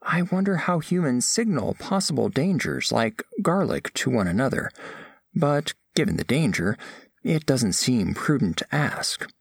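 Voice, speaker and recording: A man's voice: mid-range voice, big pitch swings, unhurried, vocal fry, slightly rough, no room sound, studio quality, full character voice. A man playing an earnest, formal alien scholar, narrating a scripted audio drama in precise, literal wording. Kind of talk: scripted first-person narration.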